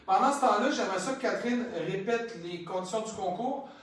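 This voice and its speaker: A Québécois-accented man co-hosting a live gallery talk, joking and lively. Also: high-pitched, fairly quick. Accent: Québécois French. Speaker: co-host. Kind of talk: casual live conversation. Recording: echoey room, distant mic.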